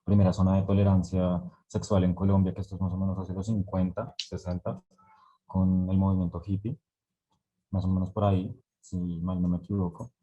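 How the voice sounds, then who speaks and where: Man, low and flat, mellow tone, rambling and unsure questioner. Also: fairly quick, highs cut off. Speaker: online event attendee. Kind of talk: question in a video call.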